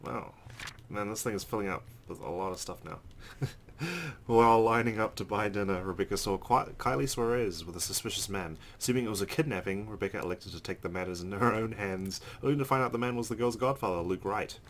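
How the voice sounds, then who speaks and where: A man's voice: fairly low pitch, brisk, slight background noise. A man hosting a let's play, reading a visual novel's narration aloud with casual asides.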